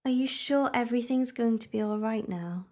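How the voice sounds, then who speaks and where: A woman's voice: on the high side, moderate pace, mellow, close to an everyday voice. A woman playing a loyal, earnest young companion in an audio drama, full of questions. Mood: wondering.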